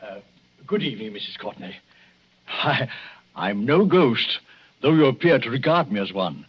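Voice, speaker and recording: Grave English doctor, husky, wildly swinging delivery. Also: male voice, mid-range voice, very animated, normal speed. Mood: hesitant, courteous. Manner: formal, hesitant, swelling to theatrical intensity. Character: grave, hesitant English doctor, ominously warning. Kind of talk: 1940s radio acting. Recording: dull 1940s radio recording.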